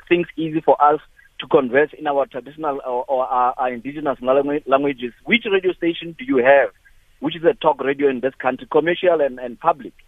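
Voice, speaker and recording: A South African man rings in to a talk show and argues with passion, often tripping over and repeating his words. His voice sits on the high side for a man. It comes through a thin, tinny phone line with a dry sound. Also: no room sound. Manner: impassioned, repeats words, many restarts. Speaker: caller. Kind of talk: call-in radio comment.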